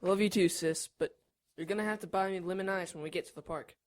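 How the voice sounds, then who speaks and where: A woman's voice: on the low side, fast talker, a little mellow, natural voice. An actress voicing a sulky, teasing young boy, the little brother in an audio drama. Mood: wary.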